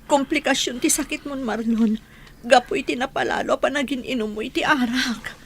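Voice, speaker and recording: A woman's voice: sits high, fairly quick, a bit bright, a little hiss or hum. An actress with an Ilocano accent playing a worried, devoted woman in a radio drama, tender and earnest. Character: worried, devoted woman pleading with a sick man.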